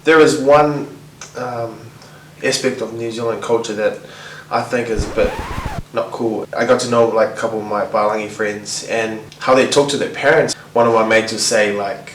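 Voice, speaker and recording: A man from Tonga, talking in a relaxed, reflective way in a casual interview. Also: fairly low pitch, normal speed, slight background noise. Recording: echoey and distant, with music underneath.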